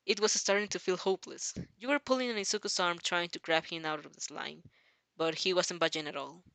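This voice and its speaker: A woman reading a dramatic fan fiction story aloud as narrator, halting now and then over the text. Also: fairly low pitch, fairly even intonation, vocal fry, fairly bright tone, dry recording. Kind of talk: dramatic audio story narration in second person.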